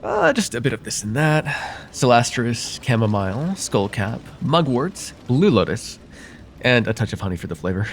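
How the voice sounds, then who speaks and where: A man playing a courteous nocturnal vampire therapist in an ASMR roleplay, speaking formally and gently to the listener in a soothing, slightly hesitant way. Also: mid-range voice, very animated, moderate pace, slight background noise. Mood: soothing, attentive.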